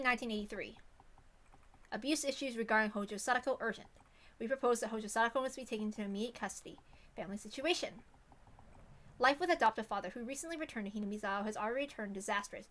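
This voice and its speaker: A woman's voice: on the high side, fairly bright tone. A woman reading a visual novel aloud, moving between casual chat and plain narration. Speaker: reader of a visual novel playthrough.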